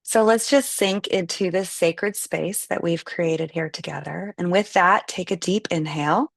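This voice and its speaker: Female meditation guide, low and gravelly, soothing and unhurried, dry close sound. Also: dry recording. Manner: slow, soothing, gentle instructions.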